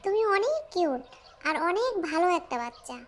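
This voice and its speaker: A woman voicing a kind, gentle magic duck in a homemade cartoon. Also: very high-pitched, sing-song, brisk, a little hiss or hum. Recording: music playing behind.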